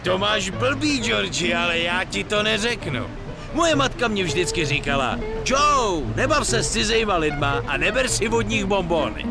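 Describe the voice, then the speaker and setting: High, croaky, dim street lowlife. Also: male voice, expressive, over music. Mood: surly, evasive. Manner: crude, belligerent. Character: surly, foul-mouthed, dim-witted male street lowlife. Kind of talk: dubbed video game dialogue.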